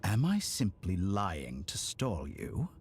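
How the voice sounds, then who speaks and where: A pompous, theatrical villain scientist, swooping delivery. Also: male voice, deep voice, wildly swooping pitch, takes it slowly, a little mellow, slight background noise, full character voice. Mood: smug. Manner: pompous, mocking, mannered speech. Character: arrogant theatrical male villain scientist with godlike ambition. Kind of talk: scripted video game voice acting. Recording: game audio with music underneath.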